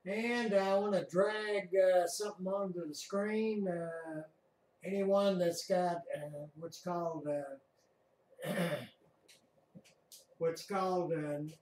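A man with a high-pitched voice gives off-the-cuff weather commentary at a slow pace. He sounds set back from the microphone in a roomy space. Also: fairly even intonation, a little mellow. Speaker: amateur radio weather net host. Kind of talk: unscripted weather radar commentary. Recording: distant mic in echoey room.

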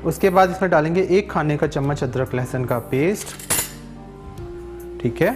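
A man's voice: on the high side, moderate pace, music in the background. A man in his thirties with a Sindhi accent, hosting a live TV cooking show in a studio kitchen and chatting his way through a recipe. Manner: chatty, switches between languages, checks in with "theek hai?" often. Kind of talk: live TV cooking demonstration with phone-in callers.

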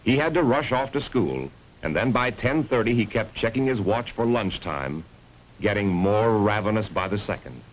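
Deep-ish man narrating old 50s school film, dramatic and lively, muffled hissy soundtrack. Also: moderate pace. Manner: dramatic storytelling with rhetorical emphasis. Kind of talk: scripted 1950s educational film narration.

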